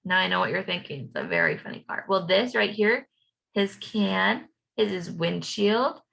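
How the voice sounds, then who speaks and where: Bright, creaky-voiced woman teaching children. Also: mid-range voice, very animated, normal speed. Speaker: teacher reading aloud and leading a children's activity. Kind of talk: children's storybook read-aloud and craft instruction.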